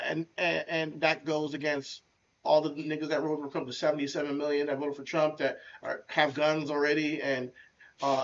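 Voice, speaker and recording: A man co-hosts a loose podcast conversation, blunt and animated, full of slang. His voice sits a bit high for a man. The recording sounds dull and lo-fi, like a remote call. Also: gravelly, slight background noise. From United States. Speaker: podcast co-host. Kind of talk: casual chat.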